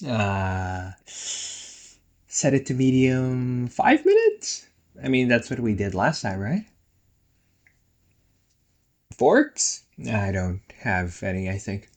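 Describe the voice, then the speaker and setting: A man's voice: medium pitch, huge pitch swings, slow. A man playing a playful, nagging young ghost brother in a casual roleplay audio drama, teasing and chatty. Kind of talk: casual one-sided roleplay audio acting.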